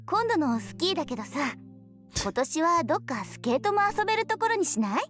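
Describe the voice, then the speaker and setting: An actress playing a lively, chatty college woman of about twenty in a visual novel, animated and teasing. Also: high-pitched, very fast, soft background music. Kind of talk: Japanese visual novel voice acting.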